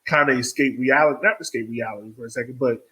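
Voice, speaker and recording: A man with a slightly raspy, husky voice hosts a casual interview. He talks in a laid-back, informal way with some slang, at a middle pitch. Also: quick pace, sharp tone, rough recording. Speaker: podcast host.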